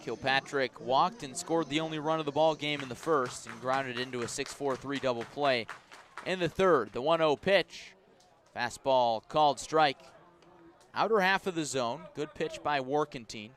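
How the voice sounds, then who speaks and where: A man calling a baseball game live on the radio, describing each pitch in measured phrases and lifting his voice for key plays. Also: fairly bright tone. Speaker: baseball play-by-play announcer. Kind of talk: live radio sports commentary.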